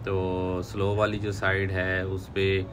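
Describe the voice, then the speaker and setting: A man's voice: low-pitched, monotone, slow pace, background noise. A middle-aged man with a Pakistani Urdu accent, chatting unhurriedly to a phone camera for a travel vlog, with many fillers and asides. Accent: Urdu-speaking, Pakistani. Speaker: travel vlogger. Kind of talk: casual travel vlog narration. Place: indoors by a plain wall, then driving on a highway. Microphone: phone camera at arm's length.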